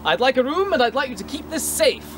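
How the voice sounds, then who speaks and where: A man's voice: very high voice, very animated, fast talker, background noise. A man voicing a self-important rich male merchant speaking in a formal, haughty way.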